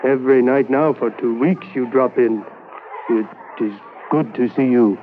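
A man's voice: mid-range voice, close to an everyday voice. A man playing a wise old fisherman of the reserve. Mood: friendly, confiding. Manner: slow, simple broken English. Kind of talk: 1950s radio drama. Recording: tinny lo-fi old radio broadcast with hiss.

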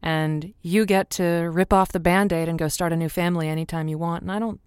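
A woman's voice: on the low side, fairly even intonation, brisk, a little mellow, clean tone, dry recording, studio quality, lightly acted. A woman playing a blunt, sassy radio advice show host in an audio drama, with a theatrical, sardonic, wordy delivery. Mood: scolding, exasperated. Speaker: call-in radio host character.

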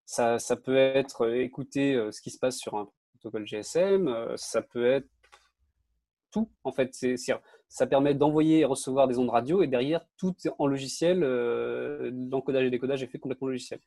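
A man's voice: mid-range voice, moderate pace, dark tone, dry recording, clean studio sound. A man explaining technology casually, in an enthusiastic and informal way. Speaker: podcast panelist.

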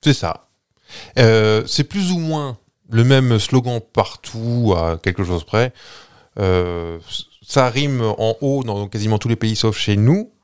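Low-voiced man rambling through a story. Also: slow pace, a little mellow, clean tone. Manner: playful, rambling, many hesitations. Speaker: podcast host. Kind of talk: casual chat, telling a story.

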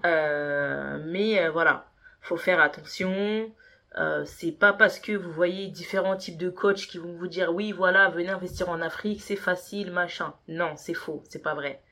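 A woman's voice: fairly low pitch, measured pace, pushed and bright. A woman in her thirties, a native Yoruba speaker, answering interview questions earnestly with many hesitations and fillers. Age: 30s. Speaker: podcast guest, business consultant.

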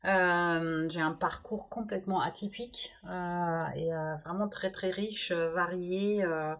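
German lady speaking french, fairly low voice, lots of euhs, podcast guest. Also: steady tone, slow, muffled recording. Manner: hesitant, many fillers. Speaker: guest executive. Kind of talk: podcast interview.

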